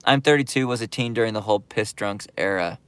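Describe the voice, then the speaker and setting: A man's voice: lowish voice, fast, smooth. A man hosting his skateboarding channel's livestream, reading viewer comments aloud and chatting back in a laid-back, filler-heavy way. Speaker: YouTube skate channel host reading live chat. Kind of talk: casual livestream chat.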